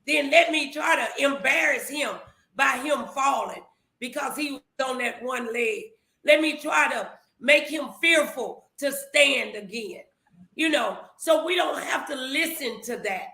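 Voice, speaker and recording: A woman's voice: sits high, measured pace, vocal fry, pushed and bright, roomy sound. A woman giving an emphatic, preachy faith-based motivational talk, repeating herself as she drives points home.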